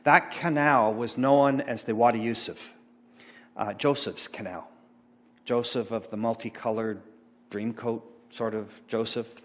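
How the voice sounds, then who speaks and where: Middle-aged male pastor, rambling and halting delivery, mid pitch, long hall reverb. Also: fairly even intonation, a bit bright. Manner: rambling, halting, many fillers and restarts. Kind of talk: informal sermon talk about slides. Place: church sanctuary.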